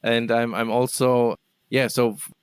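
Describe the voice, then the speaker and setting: Man, guest in a business interview; smooth, hesitant, lots of fillers, dry sound. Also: medium pitch, little pitch movement, a little slow, no echo. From Germany. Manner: hesitant, many fillers and restarts. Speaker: guest, business development manager. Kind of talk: casual interview answers.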